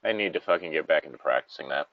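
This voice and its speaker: A man's voice: rumbling low, animated, very fast, no echo, narrow, tinny audio. A man giving casual, rambling and blunt commentary on a story, with frequent swearing. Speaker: podcast host reading and commenting on a story.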